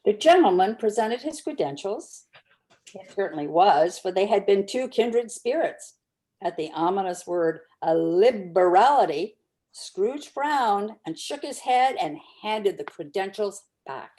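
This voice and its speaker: A woman's voice: medium pitch, animated, measured pace, dark tone. A woman narrator reading a classic story aloud as scripted narration.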